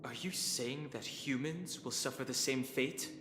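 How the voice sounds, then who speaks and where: An actor playing a calm young man who explains things in a level, direct way. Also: mid-range voice, a little slow, background noise, natural voice. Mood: steady. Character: calm young male investigator, steady and direct. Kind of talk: video game voice acting. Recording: game audio with long reverb and music.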